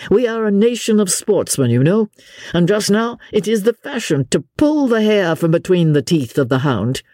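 A devil-may-care young English lord from a storybook, as an audiobook reader acts him out. He is hearty and carefree, with a bouncing intonation and a slightly husky tone. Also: medium pitch, sing-song, a little slow, dark tone, no room sound, studio quality, full character voice. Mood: jovial.

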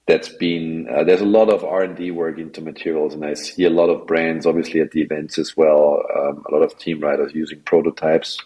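A man's voice: a bass voice, flat delivery, a little fast, hardly any pauses, mellow, poor audio. A man in his forties with an Austrian accent, a guest on a podcast interview, talking casually with many fillers and restarts. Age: 40s. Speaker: guest, wingfoil tour director.

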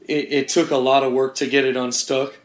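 A man hosting a podcast in casual, profane chat, hoarse after losing his voice, rambling and laughing at his own bad luck. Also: mid-range voice, level tone, fairly quick. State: hoarse from a lost voice. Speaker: podcast host. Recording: echoey room.

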